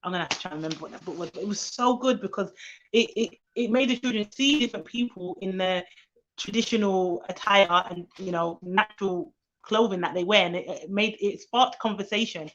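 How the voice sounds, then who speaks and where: Woman nanny rambling on a livestream, mid pitch, kinda muffled lo-fi sound. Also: fairly quick, fairly bright tone, a little hiss or hum. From England, grew up in London. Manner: chatty and rambling, many fillers and restarts; lifts her voice high to quote children. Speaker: nanny hosting a live stream. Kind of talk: casual live-stream chat to viewers. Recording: slightly dull lo-fi live-stream audio.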